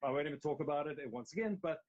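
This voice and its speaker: A man's voice: fairly high pitch, animated, very fast. A middle-aged man with a South African accent, a guest actor telling his story candidly in a casual interview, rambling a little and restarting phrases. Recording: slightly dull, lo-fi sound.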